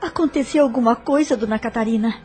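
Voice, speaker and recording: A woman's voice: fairly high pitch, fast, darkish tone, lightly acted. An actress playing a polite, thoughtful widowed mother, earnest and receptive, in an audio drama. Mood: concerned. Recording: music behind.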